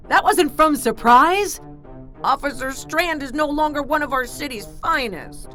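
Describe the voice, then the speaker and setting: A woman playing a sadistic, playful female chauffeur in an audio drama, taunting in a singsong way with drawn-out words. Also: high voice, animated, fairly bright tone, soft background music. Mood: smug menace. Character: sadistic, playful female supernatural chauffeur who forces cruel choices.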